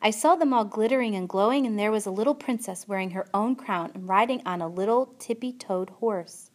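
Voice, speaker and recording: Woman voicing a higher-pitched naive peasant girl. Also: a little fast, close to an everyday voice. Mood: awestruck. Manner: simple rustic speech, childlike and earnest. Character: naive, wistful poor young peasant girl.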